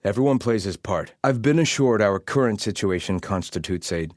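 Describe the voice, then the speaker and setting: Man acting a haughty, deep-voiced exiled soldier. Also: animated, moderate pace, darkish tone, no room sound, clean studio sound, lightly acted. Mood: haughty, disdainful. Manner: haughty, formal, theatrical phrasing. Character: proud, bitter soldier of an exiled sun-worshipping tribe. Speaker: video game voice actor. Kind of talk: scripted game combat barks and guard chatter.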